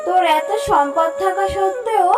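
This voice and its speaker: A very high-pitched magical tree spirit, played by a woman in a children's cartoon. It speaks solemnly and righteously, like a judge of good and bad. Music is clearly audible underneath. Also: moderate pace. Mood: stern.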